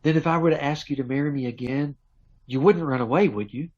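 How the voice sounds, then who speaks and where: A man's voice: a little high, fast talker, lightly acted. A man playing an eager, lovestruck young suitor in 1940s radio drama style, earnest, pleading and stammering. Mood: earnest, wooing. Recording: dull lo-fi remote call audio.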